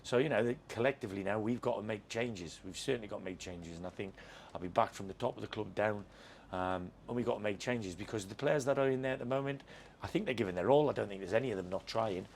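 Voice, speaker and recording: A low-voiced man gives a frank, reflective post-match interview as a football manager. He circles back over his words as he thinks. There is faint noise behind him from the ground. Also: fast, gravelly, darkish tone. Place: football stadium.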